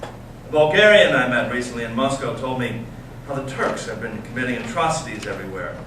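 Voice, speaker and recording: A man playing a bitter, intense young intellectual brother, reading deliberately and earnestly in a staged reading. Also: medium pitch, natural voice. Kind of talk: staged readers theater reading. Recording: echoey room, distant mic, noisy amateur recording.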